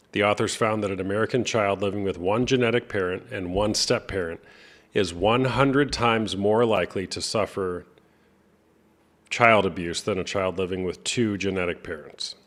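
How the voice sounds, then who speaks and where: Blunt deep-voiced man near forty. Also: a bit bright. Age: 30s. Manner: blunt, chatty, cites sources. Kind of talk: casual debate chat.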